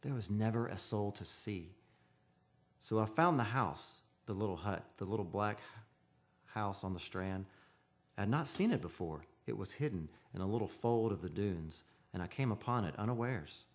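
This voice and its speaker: An actor playing an earnest, haunted man of seafaring stock in an old-time radio drama, speaking in a solemn, measured, poetic way. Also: lowish voice, expressive. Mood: hushed, solemn. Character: earnest, haunted seafarers' descendant telling his eerie tale. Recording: live radio studio with music behind.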